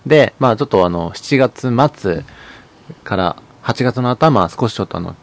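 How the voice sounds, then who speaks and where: A man's voice: on the low side, lively intonation, moderate pace. A man co-hosting a casual radio chat, polite and hesitant, playing the straight man. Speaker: radio co-host and voice actor.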